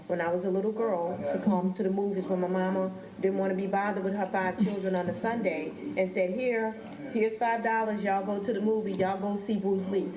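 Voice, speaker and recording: A woman's voice: medium pitch, steady tone, fairly quick. A middle-aged woman hosting a conversational podcast interview. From New Orleans, United States. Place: echoey indoor theater space. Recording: echoey room with crowd murmur.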